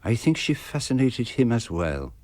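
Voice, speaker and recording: An actor playing a shrewd, self-assured detective in a radio mystery, explaining things in a measured, deliberate way. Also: male voice, mid-range voice, very animated, a little mellow, no echo, close to an everyday voice. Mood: grave, knowing. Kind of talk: radio mystery drama acting.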